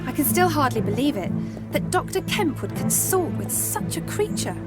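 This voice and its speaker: An actress playing a proper, determined servant in a period audio drama, speaking formally and earnestly. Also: female voice, sits high, sing-song, normal speed, loud music behind the voice. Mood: disbelieving. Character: determined, proper young female household servant, insistent.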